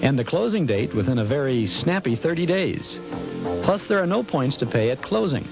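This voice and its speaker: A man's voice: fairly high pitch, sing-song, normal speed, creaky. A man reading a scripted advertisement as a commercial announcer. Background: music.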